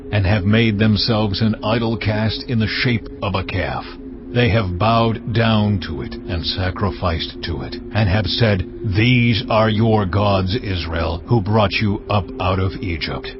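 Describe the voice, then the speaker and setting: Stern almighty God for audio scripture played by a man: low, deliberate, commanding, music clearly underneath. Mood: angry, stern. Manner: measured, authoritative, deliberate. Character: the Lord God, solemn, commanding, stern deity. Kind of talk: dramatized scripture reading. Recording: dull band-limited sound with music behind. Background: background music.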